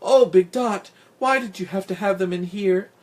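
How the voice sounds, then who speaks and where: A man's voice: a little high, big pitch swings, a little fast, fairly bright tone, voice pushed up. A man voicing an anxious, protective cat in an animal story, pleading and urgent. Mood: worried surprise.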